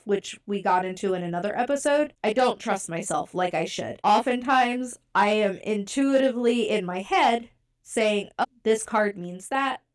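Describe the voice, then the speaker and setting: Brief: woman podcast co-host, born in Europe and raised in the US, casual and self-mocking, mid pitch. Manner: chatty, self-deprecating, candid.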